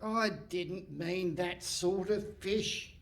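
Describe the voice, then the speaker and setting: An actor playing a curt, pompous and impatient police inspector in a comic radio play on stage. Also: male voice, high-pitched, very slow, gravelly, fairly bright tone, exaggerated voice. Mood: bossy, pompous. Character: bossy, impatient, exasperated male police inspector. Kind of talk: comic live radio theatre. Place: hall stage before live audience.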